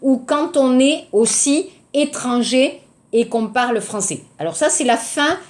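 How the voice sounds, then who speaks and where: A woman's voice: high-pitched, lively intonation, a little slow, a little mellow. A woman with a southern French accent teaching a language lesson, repeating key words and checking that learners follow. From southern France. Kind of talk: recorded online language lesson.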